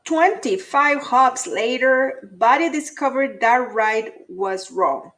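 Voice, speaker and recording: A woman's voice: high voice, animated, slow, a bit bright. A woman teacher reading a children's story aloud expressively to young pupils. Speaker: elementary school teacher.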